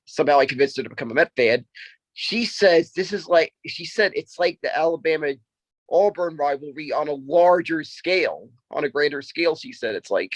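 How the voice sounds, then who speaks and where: A man's voice: fairly high pitch, animated, normal speed, no room sound, rough recording. A man in his thirties co-hosting a sports podcast, talking casually and often repeating himself as he makes a point. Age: 30s.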